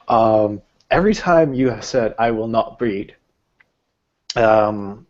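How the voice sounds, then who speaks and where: A man's voice: on the low side, lo-fi sound. A man acting as game master, narrating a tabletop roleplaying game.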